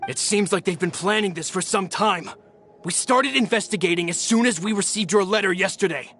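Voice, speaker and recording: A man's voice: sits high, fairly quick, a bit bright, slight background noise. An actor voicing a loyal, anxious henchman in a video game, urgent and dutiful in his delivery. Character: loyal, anxious young male henchman reporting to boss.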